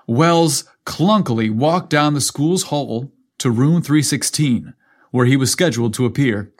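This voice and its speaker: A man reading a scripted story aloud as its storyteller. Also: medium pitch.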